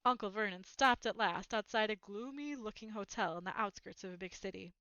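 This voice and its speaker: Bright-voiced woman reading a storybook aloud. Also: mid-range voice, brisk, clear, resonant tone, dry recording, dull audio. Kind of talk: expressive children's book read-aloud.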